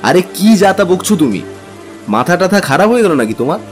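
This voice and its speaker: An actor playing an easygoing young husband, practical and curious, in a conversational, questioning style for an audio drama. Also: male voice, high-pitched, big pitch swings, a little fast. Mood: exasperated. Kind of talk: dramatized Bengali audio story. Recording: music and rain behind.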